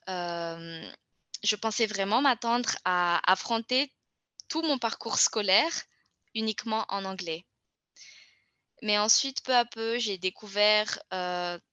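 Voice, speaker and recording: A young woman from Madagascar speaks in a bright, forward voice. She talks earnestly and thoughtfully, pausing and restarting as she finds her words. The sound is dry and close. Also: medium pitch, dry recording. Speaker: international student. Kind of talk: testimonial interview.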